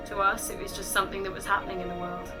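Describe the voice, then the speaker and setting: Young woman performing slam poetry, lower-pitched voice. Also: flat delivery. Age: late teens, about eighteen. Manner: dramatic, deliberate phrasing with repetition. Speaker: slam poet. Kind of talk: spoken-word poetry performance. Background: music.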